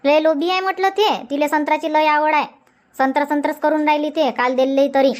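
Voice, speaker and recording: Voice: high-pitched, fairly even intonation, fairly quick, lightly acted. An actor voicing a chatty, stubborn village housewife in a comedy cartoon, nagging insistently in a rural Vidarbha Marathi accent. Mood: gossipy.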